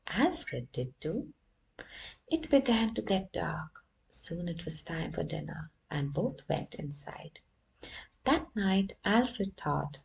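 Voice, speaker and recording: Slow, expressive woman reading a story. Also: low-pitched, vocal fry. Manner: stops often to ask listeners questions. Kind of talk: read-aloud children's story.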